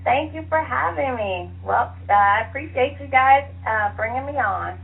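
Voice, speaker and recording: A woman's chatty, fairly high voice comes down a narrow phone line. She talks as a guest author in a casual interview, with some creak and a self-deprecating way about her. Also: animated, moderate pace. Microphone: telephone. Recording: tinny phone line, faint noise.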